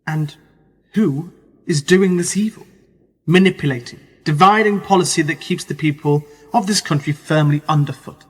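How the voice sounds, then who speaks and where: A man acting a sceptical journalist, higher-pitched, echoey. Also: moderate pace, husky. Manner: terse, questioning, dry. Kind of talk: radio play acting. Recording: reverberant hall, noticeable background noise.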